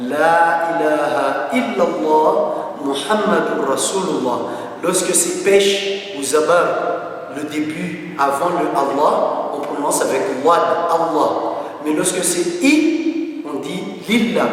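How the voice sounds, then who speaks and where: A man's voice: a little high, slow pace, a little hiss or hum. Madrasa teacher, male, patient explainer, recorded from afar with long hall reverb. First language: not French (Gujarati community). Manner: patient, explanatory, chants Arabic phrases. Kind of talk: interview answers, explaining and reciting. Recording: echoey distant room sound.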